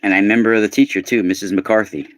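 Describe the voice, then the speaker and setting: A man in his forties hosts a live unboxing stream, his voice sitting a little low yet sounding surprisingly young. He chats casually with viewers and calls them by name, breaking into bursts of excitement. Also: fast talker, no room sound. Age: 40s. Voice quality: youthful-sounding voice.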